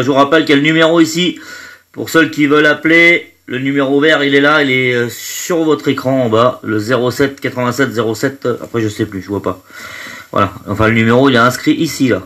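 A man's voice: medium pitch, brisk, a bit bright. A man hosting a live stream from home, stuffy with hay fever, chatting casually and greeting his viewers one by one. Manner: chatty, greets viewers by name, trails off with fillers.

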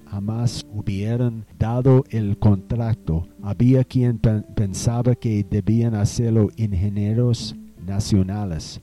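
An actor playing a proud, easily offended nineteenth-century German settler in a radio drama, speaking formally and deliberately like a storyteller. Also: male voice, on the low side, mellow. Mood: proud, reminiscing.

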